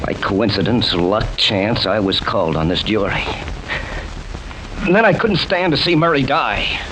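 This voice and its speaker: Earnest juror, animated, noisy old recording. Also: male voice, fairly low pitch, unhurried. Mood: grave, confessional. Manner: earnest, dramatic. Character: earnest, determined male holdout juror with a secret. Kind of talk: old-time radio drama acting.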